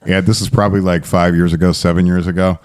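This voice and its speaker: A man's voice: sits low, level tone, fast talker, a little mellow, clear, resonant tone. A man co-hosting a podcast, riffing playfully in crude, swearing banter. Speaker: podcast co-host.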